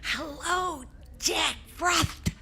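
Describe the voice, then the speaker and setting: This is a plucky five-year-old girl in a radio play. Her voice is very high and sharply bright. She sounds chirpy, eager and childlike. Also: drawn-out words, heavily acted. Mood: shy, cheerful. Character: chirpy, plucky little girl, nearly six. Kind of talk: 1940s radio drama acting.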